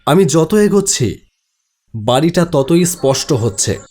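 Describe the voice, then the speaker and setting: A man's voice: on the high side, animated, unhurried, a little mellow. A man giving a dramatic, scripted first-person narration of a horror story. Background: background music.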